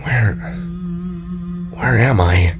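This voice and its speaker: A man voicing a shaken, confused young boy in an audio drama, quiet, hesitant and groggy. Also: lowish voice, extremely slow, over loud music. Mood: confused, wary.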